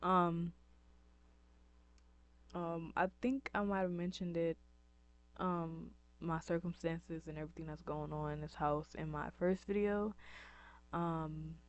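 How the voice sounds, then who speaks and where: A woman's voice: lowish voice, steady tone, takes it slowly, pauses often, smooth. A young woman from upstate New York talking to camera in a casual vlog, her voice scratchy and dry, rambling with many ums and likes. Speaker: vlogger. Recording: dull lo-fi recording.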